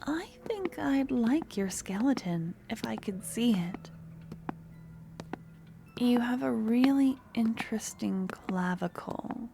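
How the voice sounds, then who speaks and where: A woman playing a sweet but obsessive young student stalker in an ASMR audio roleplay, soft, coaxing and a little awkward as she talks to the listener. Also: fairly high pitch, lively intonation, takes it slowly, gravelly, noisy background, full character voice. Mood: soft, fascinated.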